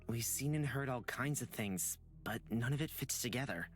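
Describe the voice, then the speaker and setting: A man's voice: mid-range voice, moderate pace, faint music behind, close to an everyday voice. A man voicing an earnest, anxious young man in a visual novel game, sincere and hesitant. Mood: weary.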